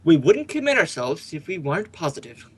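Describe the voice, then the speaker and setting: A man playing a polite but firm fingerprint official in an old time radio drama, husky and formal. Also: a little high, sing-song, a little fast.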